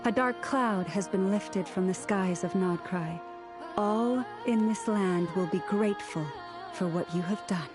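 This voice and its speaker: Woman acting slow-spoken, gracious game heroine. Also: mid-range voice, over music, natural voice. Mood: solemn, grateful. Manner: formal, measured. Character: composed adult heroine, formal, gracious, gently caring. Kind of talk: anime-style video game voice acting.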